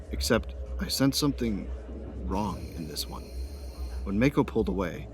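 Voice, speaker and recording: Low-voiced man acting a devoted young space captain. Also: noisy background, lightly acted. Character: young newlywed starship captain, loyal son of smugglers, devoted husband. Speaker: first-person narrator and character. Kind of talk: dramatic first-person audio drama narration.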